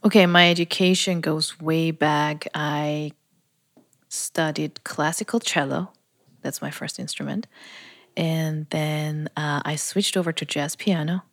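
A woman from Austria, a music producer guest, talking casually and thoughtfully with many pauses and fillers. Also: low voice, steady tone. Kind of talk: casual interview.

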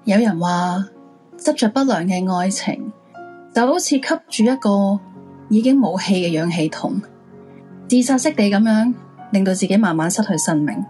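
A woman's voice: medium pitch, moderate pace, warm tone, soft background music, close to an everyday voice. A woman with a Hong Kong Cantonese accent playing a mother and wife in a radio drama. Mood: reflective.

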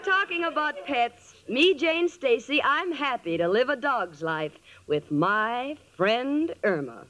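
A woman's voice: high voice, big pitch swings, takes it slowly, fairly bright tone, a little hiss or hum, full character voice. An actress playing a sensible, wry young woman narrating a 1940s radio sitcom with affection. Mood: wry, affectionate. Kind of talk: 1940s radio comedy acting.

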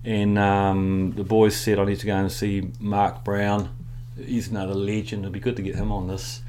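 Middle-aged New Zealand man, low voice, rambling storyteller, over a video call with faint hum. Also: moderate pace. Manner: rambling, animated storyteller with many fillers. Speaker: guest. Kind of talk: casual storytelling interview.

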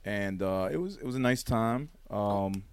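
A man's voice: on the low side, lively intonation, measured pace, smooth. A young adult man co-hosting a podcast, telling stories in a laid-back, profane, casual way. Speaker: podcast co-host. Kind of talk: casual chat. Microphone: close studio mic.

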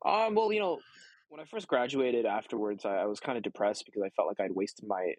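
A man's voice: on the low side, fairly quick, a little mellow, dry recording, poor audio. A young man answering interview questions casually, with many ums and restarts. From United States. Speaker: guest.

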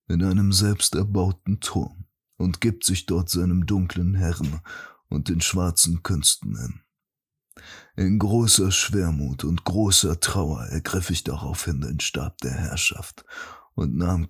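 Guy doing a solemn old-order archmage, really deep n slow, husky, formal audiobook monologue. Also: heavily acted. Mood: solemn warning. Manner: pompous, formal, grave. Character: solemn, righteous archmage priest reading his letter aloud. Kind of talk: fantasy audiobook, scripted dramatic monologue.

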